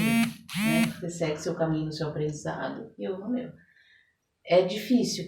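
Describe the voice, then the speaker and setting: A Brazilian woman with a fairly low voice talks in a didactic, conversational way, repeating phrases to drive them home. She sounds set back from the microphone, with clear room echo around her. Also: a little mellow. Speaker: interviewed therapist and guest. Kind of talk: conversational explanatory interview talk. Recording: roomy echo, distant microphone.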